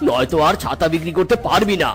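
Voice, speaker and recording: Grumpy scolding uncle guy acting, kids cartoon, kinda high for a man, bit of music. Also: expressive, quick pace, fairly bright tone. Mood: indignant. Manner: scolding, blunt.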